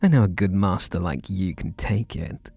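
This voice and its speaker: A man's voice: sits low, animated, normal speed, a little mellow, husky, no echo, heavily acted. A man voicing a teasing, obsessively devoted butler in an intimate ASMR roleplay, full of sugary endearments. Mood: teasing, sultry.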